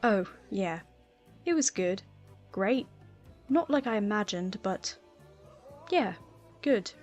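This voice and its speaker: A woman's voice: mid-range voice, expressive, slow, a little mellow, highs cut off. A woman voicing a cocky, playful teenage schoolboy with a casual, mock-offended manner in an audiobook. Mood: awkwardly smug. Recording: music playing underneath.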